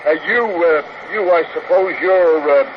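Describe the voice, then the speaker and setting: A man performs a folksy lawman, officious and plodding, who hesitates as he talks. It is 1950s radio acting on a muffled recording with clear noise. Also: a little high, a little slow, natural voice. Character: officious, plodding male deputy federal marshal serving warrants. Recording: noisy, dull old radio recording.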